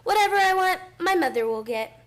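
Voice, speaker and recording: A woman's voice: very high voice, animated, fairly quick. A female performer playing a precocious, sensible little girl in a radio play, polite and matter-of-fact.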